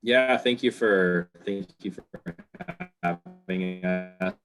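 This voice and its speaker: Man on a video call, very deep voice, halting, faint music behind. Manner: relaxed, halting over a bad connection. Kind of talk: live-stream panel talk.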